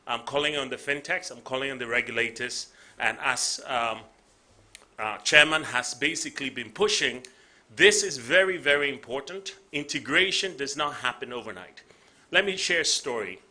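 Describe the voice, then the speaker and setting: A man's voice: medium pitch, lively intonation, normal speed, sharp tone. A Ghanaian man giving a rallying conference speech, repeating phrases for emphasis. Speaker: panel speaker.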